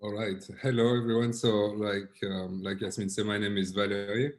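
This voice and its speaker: A man from Belgium with a French accent, giving an online workshop presentation in a relaxed, filler-heavy way over a video call. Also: on the low side, normal speed. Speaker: workshop presenter, creative director.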